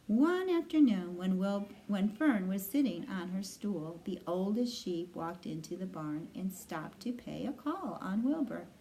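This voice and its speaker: A woman reading a children's storybook aloud in expressive narration. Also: mid-range voice, measured pace, hardly any pauses, a little hiss or hum, rough recording. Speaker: storybook reader.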